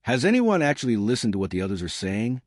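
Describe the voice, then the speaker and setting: A man reads as a concerned human diplomat in a sci-fi audiobook. The voice is questioning and observant, with lively pitch movement and a very dry sound. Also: fast, no room sound. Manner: questioning, raised voice. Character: concerned, observant human delegate at alien council.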